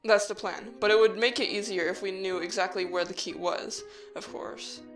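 A woman playing an earnest, cautious human child in a fan audio drama, measured, serious and matter-of-fact. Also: mid-range voice, steady tone, faint music behind, lightly acted. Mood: firm, concerned.

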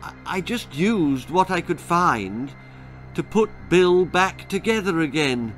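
A man's voice: a little high, animated, fairly bright tone, soft background music, pitched above the performer's own voice. Man acting a hesitant, ashamed doctor. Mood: sheepish. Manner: halting, apologetic. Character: ashamed, hesitant male doctor breaking grim news.

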